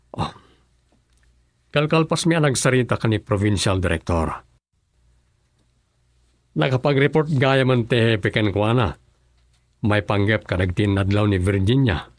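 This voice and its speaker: An actor playing a serious, worried provincial governor in a radio drama, with an Ilocano Filipino accent. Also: male voice, lowish voice, no echo, clean studio sound, natural voice.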